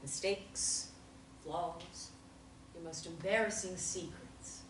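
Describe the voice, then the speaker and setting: Cool therapist type, measured and knowing, read by a woman off a distant mic. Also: lowish voice, roomy sound, background noise. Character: calm, knowing female group therapist. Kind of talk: table read of a play.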